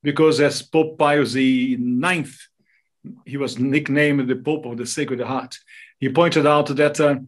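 A man with a Brazilian accent, whose first language is Portuguese, speaks as an interview guest. His voice sits at a middle pitch. He talks in the explanatory way of a public speaker on religious subjects. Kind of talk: interview talk, explaining devotional topics.

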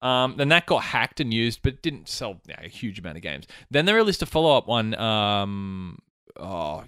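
A chatty podcast host, nasal and stuffy from a cold. His pitch swings up and down a lot, and the sound is dry and close. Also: very animated, moderate pace, fairly bright tone, smooth, no room sound. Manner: chatty, jokey, teasing. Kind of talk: casual chat.

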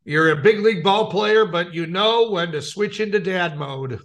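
A man's voice: sits high, moderate pace, fairly bright tone. An American man co-hosting a radio show, giving local news and short comments.

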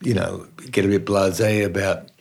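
An older man with a low voice and an Australian accent. He chats easily as an interview guest, telling stories and laughing often. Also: steady tone, moderate pace, creaky, a little mellow, slightly rough. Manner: chatty storyteller, laughs often. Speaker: guest musician. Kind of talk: casual interview.

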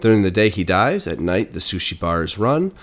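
A man co-hosting a casual video game podcast, sounding stuffed up from a cold, chatting in a relaxed way. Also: deep voice, brisk. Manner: relaxed, chatty, reads synopses aloud. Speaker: podcast co-host.

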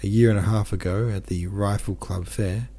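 A man with a deep voice reading the lines of a sad, pleading young woman from a novel. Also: steady tone, normal speed, mellow. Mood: wistful.

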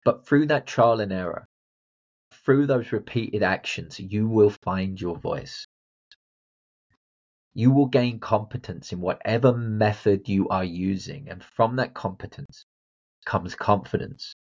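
A man's voice: lowish voice, measured pace, a little mellow, muffled recording. A man hosting a motivational solo podcast, talking bluntly and directly to the listener. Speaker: podcast host and confidence coach.

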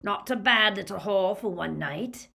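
A woman voicing a gloating, savage male werewolf villain in an audiobook, with a menacing, rasping growl. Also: medium pitch, lively intonation, a little slow, bright, forceful tone, voice pushed up, heavily acted. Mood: roaring, gloating. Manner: menacing, snarling.

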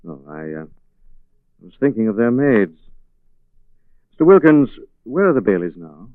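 An actor playing a suave gentleman investigator who questions in a measured, pointed way, in 1940s radio drama style. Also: medium pitch, very animated, no echo. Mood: coolly suspicious. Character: suave, probing amateur sleuth, gentleman investigator. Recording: muffled 1940s radio recording, phone-like band.

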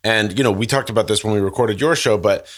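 A relaxed man hosts a podcast in easy, casual conversation, often affirming what his guests say. His voice sits on the lower side. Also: quick pace, breathy edge. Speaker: podcast host.

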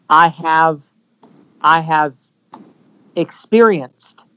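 Male worship leader, interview guest; earnest, plain examples, raised pitch. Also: fairly bright tone, no room sound, dull audio. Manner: earnest, explains with homely examples. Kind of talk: interview answer.

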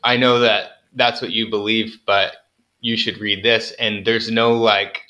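A man's voice: on the low side, little pitch movement, unhurried, bright, forceful tone, lo-fi sound. A young man, a farmer guest on an interview, chatting casually with lots of fillers and self-deprecating jokes.

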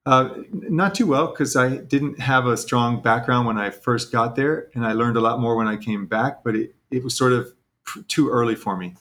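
A man's voice: medium pitch, normal speed, a bit bright. A man from Texas talking casually as an interview guest, in a self-deprecating way. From Texas, United States.

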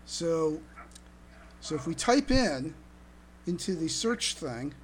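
Podcast host, casual chat, slow pace, halting with repeated words, slight background noise. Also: mid-range voice, sing-song, rough recording.

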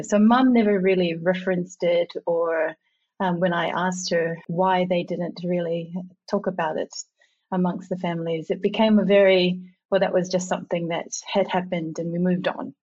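A husky, slightly low-voiced woman from Samoa speaks as an interview guest. She is reflective and laughs easily, often restarting her sentences. The recording sounds dull and lo-fi. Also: a little mellow. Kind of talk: conversational interview.

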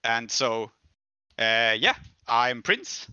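A man from Sweden hosting a tabletop game podcast as game master, lively and laughing often. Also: mid-range voice, very slow, clear, resonant tone, no room sound, dull audio.

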